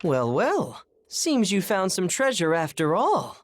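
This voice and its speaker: A woman's voice: lowish voice, big pitch swings, slow pace, no room sound. A woman voicing a flamboyant, grand-talking treasure-hunting adventurer in a game dub. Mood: grandiose. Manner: theatrical, grand, playful. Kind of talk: video game voice acting.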